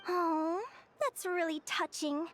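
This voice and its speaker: A woman voicing a cheerful, curious young bird fae adventurer in a video game, with an eager, expressive way of talking. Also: very high voice, slow, slight background noise, exaggerated voice. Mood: playful warm. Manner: eager, bubbly, expressive storytelling.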